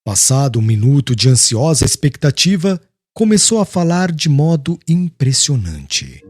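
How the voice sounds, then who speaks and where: A man's voice: mid-range voice, sing-song, a little slow, a little mellow, husky, no echo. A man narrating an audiobook in a solemn, formal and dramatic literary style. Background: music.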